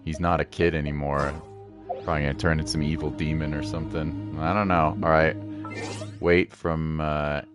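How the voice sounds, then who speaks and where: A man's voice: extremely low pitch, flat delivery, normal speed, over music. A man giving casual gameplay commentary as a streamer, with offhand, muttered reactions. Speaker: gaming streamer.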